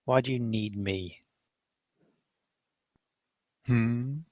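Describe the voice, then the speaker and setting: A man's voice: medium pitch, extremely slow, darkish tone, no room sound. A man playing a wry, reluctant fey-blooded mage who grumbles and rambles through improvised tabletop roleplay. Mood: reluctant, grumbling.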